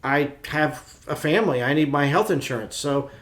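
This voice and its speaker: A man's voice: fairly high pitch, normal speed. A man chatting casually as a podcast co-host. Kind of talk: casual chat.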